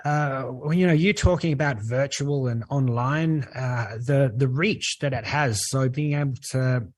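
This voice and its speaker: A man with an Australian accent, a guest on a talk show, speaking earnestly and relaxed, with many "uh" fillers. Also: medium pitch, measured pace, no room sound. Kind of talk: podcast interview.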